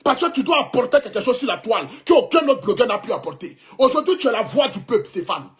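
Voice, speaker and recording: A man's voice: very high voice, sing-song, fast, a bit bright, faint music behind. Fervent Ivorian man preaching on livestream. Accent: Ivorian French. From Ivory Coast. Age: 30s. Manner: preachy, fervent, repetitive, defiant. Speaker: social media blogger. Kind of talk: emotional livestream address. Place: indoors, clothing rack behind.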